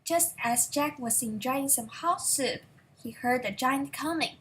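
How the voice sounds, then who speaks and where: A young female voice reads a fairy tale aloud, high and bright in tone. The narration is slow and deliberate, in storybook style. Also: slight background noise. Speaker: storybook reader.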